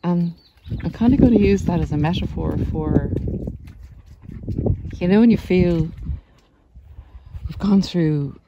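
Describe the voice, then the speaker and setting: A woman's voice: fairly low pitch, measured pace, pauses often, lo-fi sound, very noisy. A middle-aged woman giving an emphatic, encouraging motivational talk to viewers, informally, like a coach out on a walk. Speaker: coach and vlogger. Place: outdoors on a hillside walking trail.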